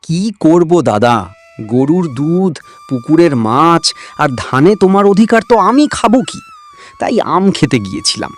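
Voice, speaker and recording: Male actor as meek, clever cartoon younger brother, plaintive, slightly high pitch, soft music behind. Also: huge pitch swings, normal speed, exaggerated voice. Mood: mock-innocent. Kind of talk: Bengali cartoon dubbing.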